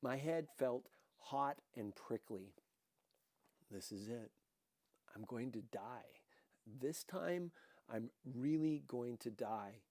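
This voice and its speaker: A man narrates a novel in a dry, close recording with no room sound. His reading is slow and deliberate, with dramatic expression, at an average male pitch. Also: darkish tone, dry recording. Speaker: audiobook reader.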